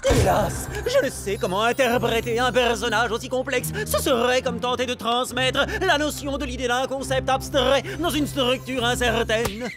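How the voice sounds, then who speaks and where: An actor voicing an awkward, excitable young amoeba boy in a cartoon, theatrical and easily flustered. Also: mid-range voice, normal speed, hardly any pauses, over music, natural voice. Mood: melodramatic. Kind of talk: animated cartoon voice acting.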